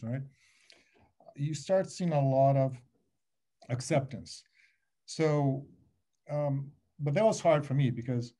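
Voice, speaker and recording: Middle-aged man, warm, mellow tone, loose panel-talk delivery full of "you know", webcam audio. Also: mid-range voice. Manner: animated, gesturing, restarts and many fillers. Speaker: alumni panelist. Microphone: laptop webcam on a video call.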